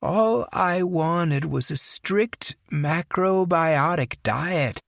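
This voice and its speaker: A man doing an unhinged young man, high and mock-polite. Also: extremely slow, gravelly, dry recording, clean studio sound, exaggerated voice. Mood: mocking menace. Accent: put-on English.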